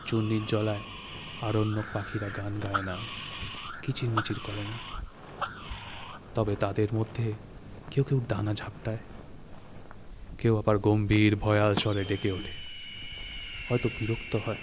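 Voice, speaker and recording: Slow, suspenseful male story narrator. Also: fairly low pitch, heavy background noise. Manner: suspenseful, expressive, with deliberate pauses. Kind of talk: dramatic literary story narration. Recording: studio recording with music. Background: music and night insect sounds.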